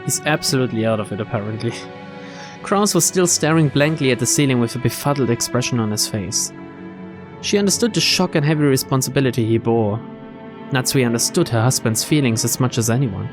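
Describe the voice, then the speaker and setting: A man's voice: mid-range voice, expressive, fairly quick. A man doing a game playthrough, reading visual novel narration aloud and adding his own asides. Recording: game music behind the voice.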